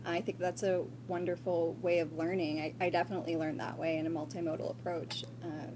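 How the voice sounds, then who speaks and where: A woman's voice: lowish voice, flat delivery, brisk, noisy background. A young woman speaking as a student researcher, explaining her work in a semi-formal, conversational conference Q&A. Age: young adult. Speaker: student researcher answering questions. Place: live conference room. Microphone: shared event microphone.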